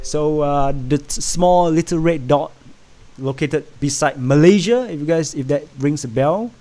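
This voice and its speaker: A man hosts a casual livestream from home, talking through the news and answering chat. His voice sits a bit higher than most men's, and he rambles with lots of "uh"s, restarts and repeated words. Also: dark tone, faint music behind. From Singapore. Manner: rambling, halting, many fillers and restarts. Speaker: livestream host reading chat. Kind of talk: casual live stream chat.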